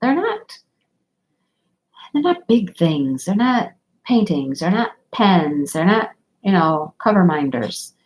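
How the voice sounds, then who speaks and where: A woman talks in a rambling, easygoing way while she works on a craft project. Her voice sits a little low, and her nose is stuffy and sniffly from a cold. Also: big pitch swings, slow pace, darkish tone. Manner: rambling, chatty, laughs often. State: stuffy nose from a sinus infection. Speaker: diamond painting livestream host. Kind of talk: casual chat while crafting.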